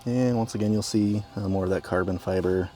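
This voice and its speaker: A man, a car salesman, giving a casual walkaround tour of a vehicle at a dealership, talking in a relaxed, plain way with frequent fillers. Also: on the low side, fairly quick, music in the background.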